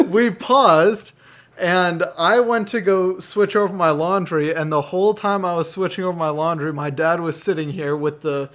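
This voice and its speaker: A man's voice: sits high, normal speed, a bit bright. A young man chatting casually as co-host and reading out viewer comments, rambling with restarts. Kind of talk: casual chat.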